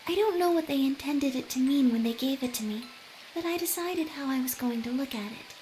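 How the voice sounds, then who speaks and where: An actress playing a gentle, earnest young woman in a video game, wistful and inquisitive. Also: sits high, fairly quick, noisy background, lightly acted. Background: game music.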